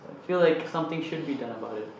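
A man's voice: fairly high pitch, fast, a little mellow, slight background noise. A man on a student panel making an earnest, argumentative case. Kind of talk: opinionated discussion. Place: studio with panel on a couch. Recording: echoey, slightly dull studio sound.

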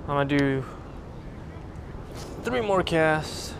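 A man's voice: fairly high pitch, animated, slow, gravelly. A man in his twenties chatting casually and in slang as he films a fishing vlog outdoors. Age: 20s. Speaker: fishing vlogger. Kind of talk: casual vlog chat and commentary. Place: outdoors by the water at a pier and shore. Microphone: action camera or handheld camera. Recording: heavy wind and outdoor noise. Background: loud wind, outdoor ambience.

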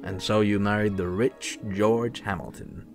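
A man acts a serene angel passing as a gentleman in an audio drama. He speaks in a fairly low voice, calm and assured, gently persuasive, over soft music. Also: a little slow. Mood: gently firm.

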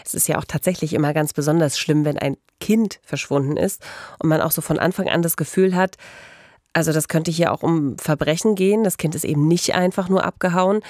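A woman's voice: sits low, darkish tone, no echo, studio quality. A woman with a German accent co-hosting a true-crime podcast, narrating the case and asking questions. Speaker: podcast co-host.

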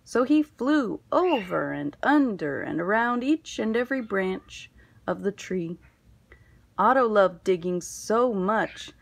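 A woman reading a children's picture book aloud in an expressive, deliberate storytelling manner. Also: medium pitch, creaky. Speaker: storybook reader.

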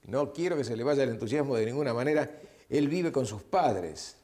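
An older man with an Argentine Spanish accent hosts a matchmaking program in a TV studio. He coaxes his guest with playful, repeated questions, his pitch swinging widely. The sound is a lapel mic in a studio. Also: lively intonation, a little fast, a little mellow. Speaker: TV matchmaking show host. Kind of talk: studio interview and address to camera. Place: TV studio set.

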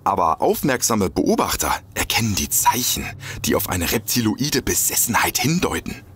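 An actor playing an eager, gullible amateur detective in a comedic audio drama, earnest, excitable and a bit hesitant. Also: male voice, fairly low pitch, moderate pace, slight background noise. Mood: earnest, conspiratorial. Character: eager, gullible male amateur detective obsessed with lizard people.

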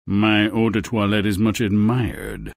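A man's voice: on the low side, lively intonation, measured pace, vocal fry, dry recording, studio quality, exaggerated voice. A man voicing a suave, wheedling, theatrical frog who is secretly a king, in a fairy-tale video game. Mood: smug, wheedling. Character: smug, courtly male frog, secretly a king.

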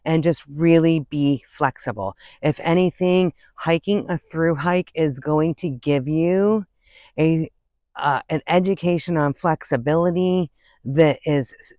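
A woman's voice: low-pitched, a little slow, warm tone, no room sound, studio quality. A woman in her fifties chatting casually to the camera as a hiking vlogger, outdoors on a trail, with a conversational manner that wanders into asides. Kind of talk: casual chat to camera, giving tips. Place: outdoors on an open woodland and prairie trail. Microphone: phone or camera at arm's length.

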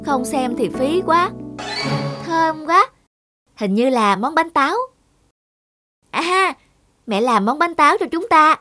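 A woman voicing an eager, easily upset young girl in a cartoon, with a whiny, expressive, childlike delivery. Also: sits high, slow pace, long pauses. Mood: sulky, then excited. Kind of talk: animated cartoon dubbing. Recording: loud music behind the voice.

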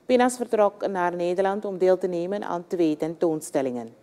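A woman's voice: on the low side, moderate pace, warm tone. A woman narrating a scripted television news report.

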